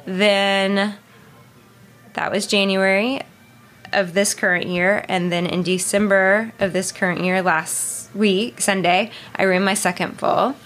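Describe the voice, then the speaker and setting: A woman in her thirties as an interview guest, talking casually and haltingly with many fillers and restarts. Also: medium pitch, fairly even intonation, a bit bright, faint music behind. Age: 30s. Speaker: interview guest, marathon runner.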